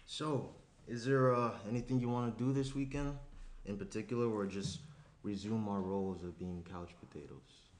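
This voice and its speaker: A man's voice: lowish voice, fairly even intonation, normal speed, a little mellow, natural voice. A young man acting an attentive, slightly anxious boyfriend in a conversational, hesitant script reading. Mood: awkward. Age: young adult. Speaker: actor in a screenplay table read. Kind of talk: naturalistic dramatic script reading. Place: small indoor room around a table. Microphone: shared tabletop microphone. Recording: roomy echo, noticeable room noise.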